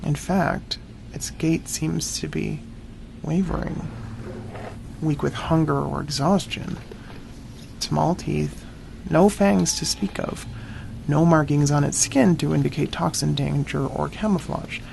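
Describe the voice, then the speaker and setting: An actor playing a wary, stern great-cat hunter, narrating in the first person in grave, clipped, deliberate phrases for an audio drama. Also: male voice, a little high, fairly even intonation, vocal fry, darkish tone, a little hiss or hum, exaggerated voice, poor audio. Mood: wary, assessing. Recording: music under the voice. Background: dramatic music.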